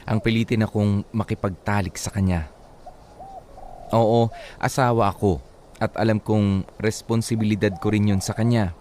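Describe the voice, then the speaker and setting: Low-pitched Filipino man narrating a drama. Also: fairly even intonation, moderate pace, long pauses, mellow, slight background noise. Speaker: radio drama host reading a listener's letter. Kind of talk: dramatic first-person story narration.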